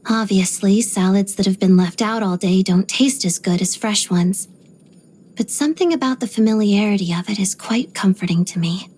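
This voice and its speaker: A young woman ballerina from a video game, voiced by an actress, with a soft, breathy voice that often thins toward a whisper. She speaks in a composed, measured way, polite but guarded. Beneath the discipline sits a proud, quietly haunted temper. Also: mid-range voice, natural voice. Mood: calm, musing. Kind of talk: video game character voice lines.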